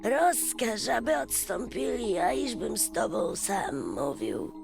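A woman voicing a calm, unafraid old male merchant in a fairy tale, speaking slowly and solemnly with a creaky voice. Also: medium pitch, heavily acted. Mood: calm, dignified. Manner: slow, solemn, deliberate. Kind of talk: fairy-tale storybook character voice. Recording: music behind the voice.

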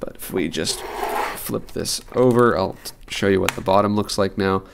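A man with a very low voice and a lot of vocal fry talks through a repair demonstration. He is informal and unscripted, rambling a little as he thinks out loud at his workbench. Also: normal speed, noisy background. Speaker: radio repair hobbyist and presenter. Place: indoors at a desk in an apartment living room.

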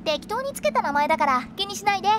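A woman's voice: very high voice, very animated, fast. A woman voicing a playful, cryptic young female funeral director in a Japanese anime game, with a teasing, theatrical delivery. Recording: studio voice over background music.

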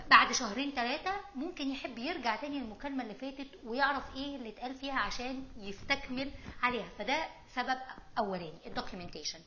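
Very fast-talking, high-voiced Egyptian woman. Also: sharp tone, dull audio, slight background noise. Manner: emphatic, conversational, mixes in English terms. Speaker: host of a political explainer video series. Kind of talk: informal explanatory commentary to camera.

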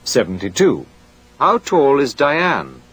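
A man reading a scripted language course drill in a clear, measured way. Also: mid-range voice, huge pitch swings, background noise.

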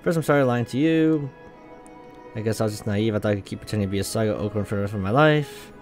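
A man's voice: fairly low pitch, fast talker, darkish tone, faint music behind, close to an everyday voice. A man voicing an earnest, plainspoken young woman, a royal mech pilot in a visual novel. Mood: apologetic, resolute.

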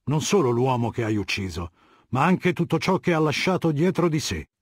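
An actor playing an imperious, ruthless middle-aged warlord in a dubbed video game, commanding, measured and scornful. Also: male voice, mid-range voice, very animated, husky, dry recording, studio quality. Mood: stern command. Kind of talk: dramatic game dubbing, scripted dialogue.

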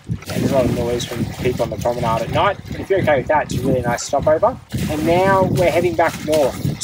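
A young man talks easily to a deck-mounted camera while sailing, with plenty of ums along the way. He sits a little back from the mic, and loud wind and boat noise run under his voice. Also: mid-range voice, far from the mic. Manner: relaxed, chatty, with fillers. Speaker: sailing vlogger. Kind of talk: casual to-camera chat. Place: sailboat cockpit at sea, outdoors.

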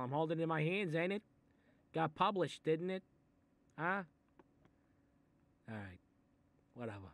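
A man playing a gruff, sarcastic Italian-American mob boss in a comic monologue, exasperated and muttering threats as he reads a picture book aloud. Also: medium pitch, fairly bright tone. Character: short-tempered, sarcastic Italian mob boss babysitting a kid. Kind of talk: comic improvised character monologue.

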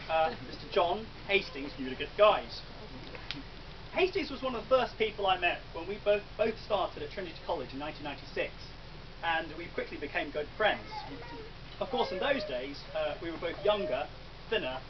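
English guy doing a best man speech, high lively voice, dry jokes, far off camera in a marquee, dull muffled sound. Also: background noise. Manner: dry joking delivery with ums and pauses for laughs. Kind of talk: wedding toast speech. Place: large wedding marquee. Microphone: far camera, no mic. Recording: distant dull camera audio.

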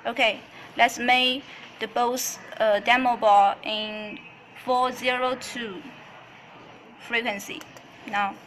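Higher-pitched woman giving a halting product demo. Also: fairly even intonation, background noise. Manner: halting, repeats phrases while explaining in non-native English. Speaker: company product demonstrator. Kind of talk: product tutorial, semi-scripted demonstration. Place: indoors at a desk.

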